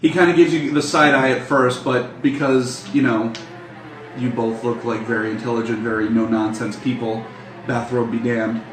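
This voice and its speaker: A man running an improvised tabletop game as game master, narrating casually in a halting, drawn-out way. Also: medium pitch, fairly even intonation. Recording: echoey room, slightly distant, faint music.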